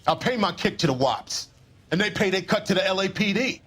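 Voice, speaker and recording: An actor playing a defiant, loudmouthed 1940s bookmaker in a video game, husky and protesting indignantly. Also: male voice, high voice, lively intonation, a little fast, exaggerated voice. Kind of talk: video game cutscene acting.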